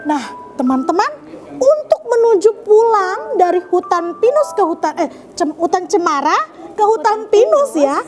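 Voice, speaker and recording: A woman's voice: very high voice, big pitch swings, normal speed, a little mellow. A woman storyteller addressing young children, narrating in an interactive way and checking in with her listeners. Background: music.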